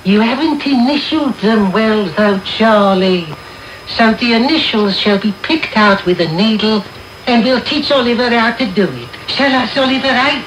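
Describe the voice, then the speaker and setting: A man's voice: sits high, moderate pace, a bit bright. An actor in a radio drama playing a sly, wheedling old man who leads young pickpockets, with coaxing, theatrical delivery. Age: older. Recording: roomy, noisy medium-wave radio tape.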